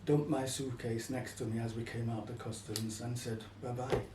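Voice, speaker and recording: A man's voice: mid-range voice, little pitch movement, normal speed. An older man telling personal stories in an interview, in a reflective, slightly hesitant manner. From Caribbean, then England from childhood. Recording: echoey room, distant mic, faint noise.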